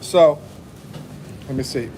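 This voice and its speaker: A man in his fifties talks slowly and unhurriedly, hosting a casual livestream from his phone. He reads viewer comments out loud and softens his points with "I think" and "like". Loud traffic noise fills the background. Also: fairly high pitch. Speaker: livestream host.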